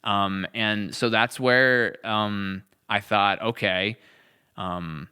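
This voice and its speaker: A man's voice: lowish voice, fairly even intonation, very slow, pushed and bright, clean tone. A man in casual, reflective podcast conversation as a writer and co-host, rambling and emphatic as he thinks aloud.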